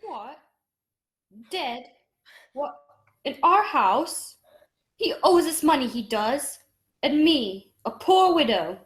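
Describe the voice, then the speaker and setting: A woman's voice: high-pitched, sing-song, slow pace, long pauses, reverberant, full character voice. A woman playing an indignant, stubborn widowed mother and innkeeper in a radio play, with dramatic, determined delivery. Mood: shocked, indignant.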